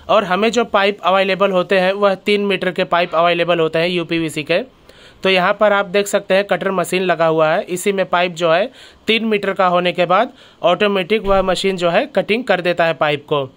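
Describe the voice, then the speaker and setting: Host, male, high and smooth voice, explaining a factory tour step by step. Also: fairly bright tone. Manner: explains step by step, keeps addressing viewers as friends. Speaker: channel host. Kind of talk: factory tour vlog narration.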